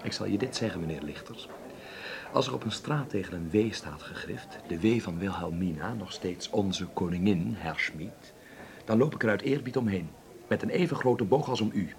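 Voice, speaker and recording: Proud low-voiced stage actor in radio drama. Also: male voice, expressive, moderate pace, vocal fry, darkish tone. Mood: defiant, cutting. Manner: theatrical, sardonic, outspoken. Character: proud, principled, sharp-tongued male stage actor in wartime. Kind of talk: Dutch radio drama acting.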